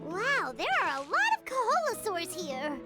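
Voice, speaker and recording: A woman voicing a tiny, excitable, childlike fairy companion in a video game, with a squeaky voice. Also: moderate pace, over loud music. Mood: amazed. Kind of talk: animated video game dialogue.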